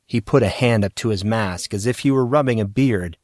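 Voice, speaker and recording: A man's voice: lowish voice, brisk, a little mellow, dry recording, studio quality. A man reading scripted fiction narration as the narrator of an audio story.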